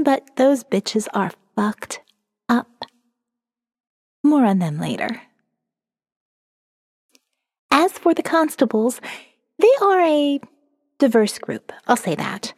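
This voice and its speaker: A woman's voice: fairly high pitch, darkish tone, no room sound, studio quality, in a large hall, full character voice. A woman reading as a sardonic young college student narrating her survivor's diary in a wry, profane, conversational way for an audio novel. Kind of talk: first-person diary narration, dramatic reading.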